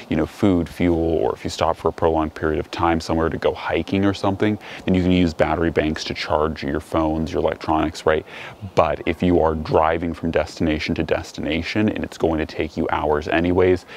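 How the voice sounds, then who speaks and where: A man's voice: very deep voice, gravelly, a little hiss or hum. A young American man giving a casual, rambling explainer to camera outdoors, with plenty of "you know" and "like". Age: young adult. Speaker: gear review vlogger. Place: outdoors on a wooden deck among trees.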